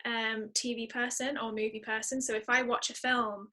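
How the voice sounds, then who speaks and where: A woman's voice: a little high, level tone, normal speed, sharp tone. A woman chatting casually as a guest, emphatic and thinking aloud as she goes. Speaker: podcast guest, singer-songwriter. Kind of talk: casual chat.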